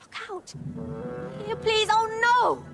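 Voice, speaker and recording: A woman playing an excitable young girl on a fantasy quest in an audio drama, anxious and quick to question. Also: very high voice, creaky, music in the background, heavily acted. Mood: anxious, indignant. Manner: anxious, indignant.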